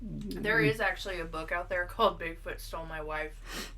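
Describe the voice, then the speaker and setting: A woman's voice: on the low side, normal speed, sharp tone. A woman co-hosting a podcast in casual comedic chat, telling things in an informal, giggly way. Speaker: podcast co-host.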